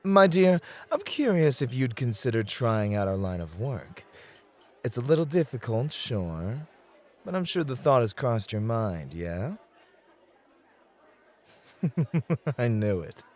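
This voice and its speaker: A man playing a flirty, gentlemanly club host who teases and gently coaches in an ASMR roleplay, with courteous, theatrical phrasing. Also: mid-range voice, very animated, slow pace, pauses often, a faint crowd. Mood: teasing, intrigued.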